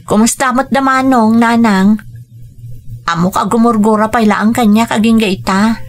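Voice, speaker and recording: A woman's voice: on the high side, steady tone, normal speed, natural voice. A woman playing a caring, heavy-hearted young adult daughter in a radio drama, earnest and sentimental, with an Ilocano accent, over music. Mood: affectionate. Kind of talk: Filipino radio drama acting.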